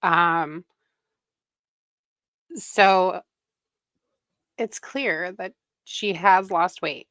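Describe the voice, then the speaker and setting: A woman streams her reaction to a video in a low, slightly gravelly voice. She talks slowly, with wry, critical asides. Her mic sounds close and dry. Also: a bit bright, dry recording. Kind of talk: casual reaction commentary.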